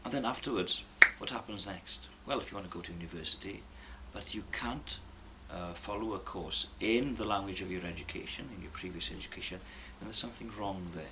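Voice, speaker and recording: A man with a low, gravelly voice talks through his views in an interview, pausing often with ums and starting phrases over. He is a language tutor making a considered case. A steady noisy hiss sits under the recording. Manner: thoughtful, hesitant with many ums and restarts. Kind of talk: radio interview answers.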